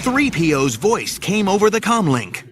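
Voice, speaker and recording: A man's voice: sits high, big pitch swings, moderate pace, music in the background. A man narrating an audio drama in an urgent, theatrical storytelling style.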